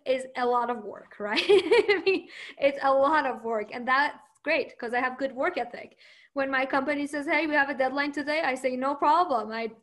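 High voiced woman, persian is her first language, sure of herself, laughs a lot while answering interview questions. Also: a bit bright. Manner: confident, laughs often.

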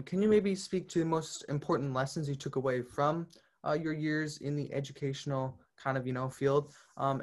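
An interviewer asking long, hesitant questions, often stopping on fillers. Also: mid-range voice.

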